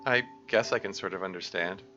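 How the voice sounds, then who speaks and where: A man voicing a caring, worried teenage girl, concerned and questioning, with a hoarse voice. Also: low voice, a little fast, highs cut off, over music, voice pushed down, natural voice.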